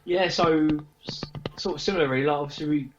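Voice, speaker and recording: A man's voice: on the high side, quick pace. A man, a musician in a casual interview, rambling loosely through his answers. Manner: rambling, heavy hedging with kind of. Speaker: band member being interviewed. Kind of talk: casual chat. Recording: dull lo-fi remote sound.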